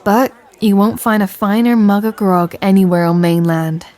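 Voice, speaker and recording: A woman's voice: mid-range voice, normal speed, darkish tone, natural voice. A woman playing a tender but commanding female pirate captain in an intimate audio roleplay, with a stage pirate accent and teasing sailor slang. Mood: inviting. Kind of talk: scripted roleplay audio, intimate second-person address.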